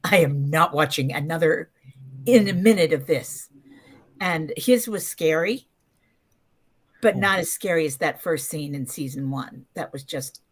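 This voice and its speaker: An older woman, around her seventies, speaks in a lowish voice as a podcast guest. She tells anecdotes in a casual, emphatic way, slipping into quoted dialogue as she goes. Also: measured pace, slightly rough.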